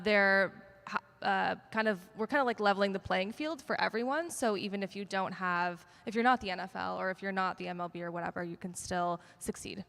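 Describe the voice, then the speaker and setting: A woman's voice: mid-range voice, fairly bright tone. A woman speaking casually on a conference panel, with many filler ums. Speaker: panelist, social media sports partnerships. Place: conference stage. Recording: long reverb of a conference hall.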